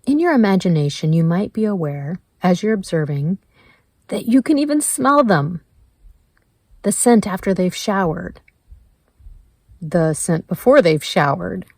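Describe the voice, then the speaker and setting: Woman, guided meditation narration, slightly low pitch, unhurried pace, gentle measured prompts. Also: very animated, no echo.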